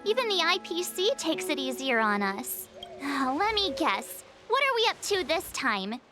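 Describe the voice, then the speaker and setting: High-voiced sassy teenage girl. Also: measured pace. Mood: sarcastic, defiant. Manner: informal, expressive, sassy. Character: perky, cheeky teenage girl adventurer prone to complaining. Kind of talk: scripted video game voice acting. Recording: loud music under the voice.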